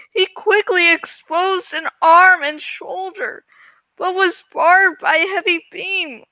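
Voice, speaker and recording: Amateur female storybook narrator, very high voice, unhurried delivery, dramatic but self-mocking. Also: bright, forceful tone, dry recording. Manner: self-deprecating, stumbles and jokes about slips. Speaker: amateur audiobook reader.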